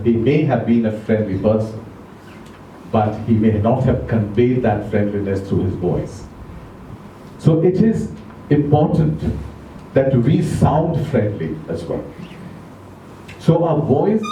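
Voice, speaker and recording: A man giving an educational talk to a club audience, speaking slowly and deliberately, asking them questions, English not his first language. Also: mid-range voice, warm tone, far from the mic, a little hiss or hum. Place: club meeting room. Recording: echoey room recorded from afar.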